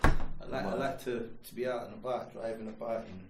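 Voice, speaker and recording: A man's voice: lowish voice, steady tone, brisk, recorded from a distance. A man speaking in a laid-back, wry way in a casual chat among friends. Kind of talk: casual group banter. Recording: echoey room, away from mic, background noise.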